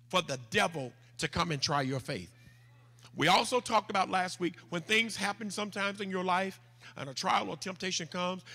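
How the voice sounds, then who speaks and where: Southern pastor preaching in a reverberant church. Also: male voice, high-pitched, pushed and bright. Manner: leads the congregation in call-and-repeat, reads scripture slowly and deliberately. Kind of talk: sermon with scripture reading. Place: large church sanctuary, standing at a pulpit. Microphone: handheld microphone. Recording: church hall with long reverb, faint music.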